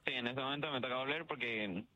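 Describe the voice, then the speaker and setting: A man's voice: mid-range voice, fast talker, sharp tone, poor audio. A young man with an Ecuadorian accent answering questions as a student in a spontaneous street interview. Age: young adult. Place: outside a university building. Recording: faint noise on street interview.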